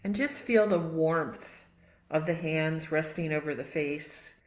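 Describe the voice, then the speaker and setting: A woman leading a guided relaxation as a yoga nidra teacher, gently and without hurry, inviting listeners along. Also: low voice, slow pace. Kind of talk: guided meditation and relaxation instruction. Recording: roomy, echoing sound.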